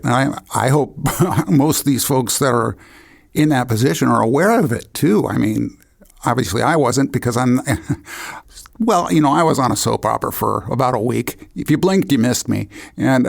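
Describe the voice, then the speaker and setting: A man's voice: medium pitch, creaky. A man hosting a podcast in a casual, conversational style. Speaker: podcast host.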